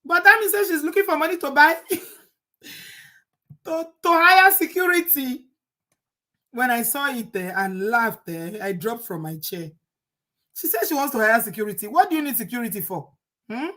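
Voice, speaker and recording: A woman's voice: high voice, animated, normal speed, long pauses, bright, forceful tone. A middle-aged Nigerian woman hosting a casual live chat, reading viewer comments and asking rhetorical questions. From Nigeria, Edo State. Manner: chatty, rhetorical, laughs at the topic.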